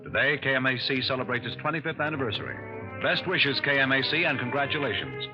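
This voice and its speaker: A man reads credits and promotions in a crisp, formal announcer's manner. His voice sounds dulled like a vintage radio broadcast, with music clearly playing underneath. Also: medium pitch, expressive, fast talker, dull audio. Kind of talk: 1950s network radio announcing.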